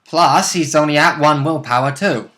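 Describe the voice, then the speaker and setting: A man's voice: fairly high pitch, normal speed, bright, forceful tone. A man co-hosting a casual chat about game rules, explaining them in a matter-of-fact way. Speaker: co-host discussing game rules. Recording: roomy, echoing room sound.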